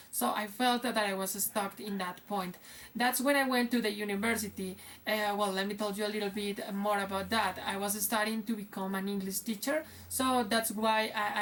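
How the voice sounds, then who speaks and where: A woman's voice: mid-range voice, a bit bright, recorded from a distance. A young woman with a Spanish accent, an English teacher chatting casually in a vlog with many restarts. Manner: conversational, many fillers and restarts.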